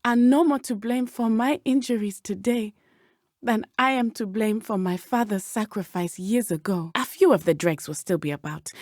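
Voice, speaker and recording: A woman's voice: on the high side, a little mellow, no echo, studio quality. An actress playing an earnest, brave and loyal teenage witch in a video game, speaking in formal, careful phrasing. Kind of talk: video game character dialogue.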